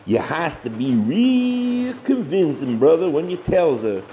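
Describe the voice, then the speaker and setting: A man's voice: fairly high pitch, big pitch swings, unhurried, heavy background noise. A man acting in a comedy horror audio drama as a glib, bossy hillbilly older brother with a rural Southern US accent. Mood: smug, persuasive. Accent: rural Southern US, hillbilly dialect. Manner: folksy, self-righteous, glib. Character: bossy, shameless hillbilly monster hunter, scheming older brother.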